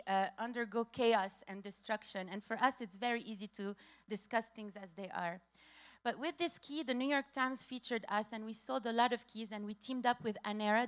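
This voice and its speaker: A woman in her thirties from Lebanon, giving a hurried, passionate conference talk from a stage with slides, repeating key phrases. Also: mid-range voice. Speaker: conference speaker.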